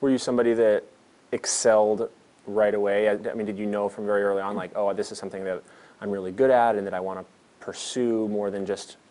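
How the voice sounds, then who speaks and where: A man's voice: fairly low pitch, flat delivery, quick pace, mellow. A man in his thirties hosting a show, asking friendly, chatty interview questions in a small studio.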